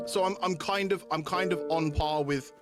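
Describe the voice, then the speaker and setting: A man's voice: on the high side, normal speed. A man chatting casually to viewers as a game streamer, informal and sweary, often repeating himself. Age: adult, parent of young kids. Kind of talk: casual livestream chat with viewers. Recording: music playing behind the voice. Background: clear background music.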